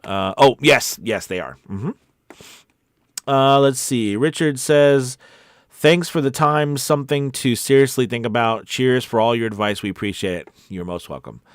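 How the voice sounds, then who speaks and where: A man's voice: medium pitch, unhurried, smooth, dry recording, studio quality. A man hosting a casual livestream Q&A as a voice-over coach, talking in a conversational, rambling and encouraging way.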